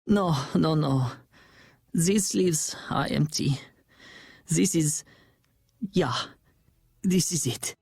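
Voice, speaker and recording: An actor playing a flamboyant, gleeful villain in a video game, with grandiose, mocking theatrical delivery. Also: male voice, fairly high pitch, extremely slow, vocal fry, long pauses, slightly rough, no room sound, studio quality, exaggerated voice. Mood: weary defeat. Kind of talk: dramatic video game voice acting. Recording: loud music under the dialogue. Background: game music.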